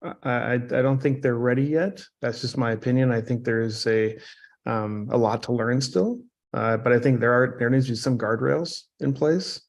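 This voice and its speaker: A man with a gravelly, fry-edged voice at an average pitch shares his opinion in an unscripted online discussion. His phrasing is measured and a little tentative. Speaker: panel participant giving opinions.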